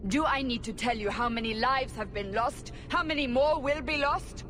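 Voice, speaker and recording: A woman playing a stern, zealous interrogator in a video game, accusing and questioning forcefully. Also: a little high, moderate pace, slight background noise, close to an everyday voice. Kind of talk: video game cutscene acting.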